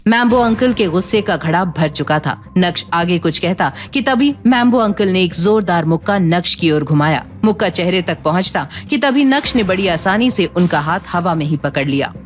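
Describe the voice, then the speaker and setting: A woman's voice: lowish voice, lively intonation, moderate pace, few pauses. A woman narrating a scripted drama story in a dramatic storytelling style.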